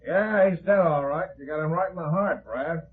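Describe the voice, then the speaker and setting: An actor playing a detective's excitable, plain-spoken male sidekick in a 1950s radio drama, on a muffled vintage recording. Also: a little high, normal speed, slightly rough. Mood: excited, admiring.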